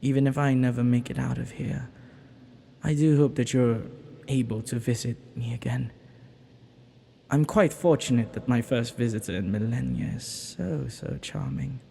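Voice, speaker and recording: A man's voice: medium pitch, fairly even intonation, normal speed, vocal fry, slightly rough. A man playing a flirty, ancient banished villain in an intimate audio roleplay, lonely and teasing, with hesitant, murmuring delivery. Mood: flirty, wistful. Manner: flirtatious, hesitant, breaking into murmurs and soft chuckles.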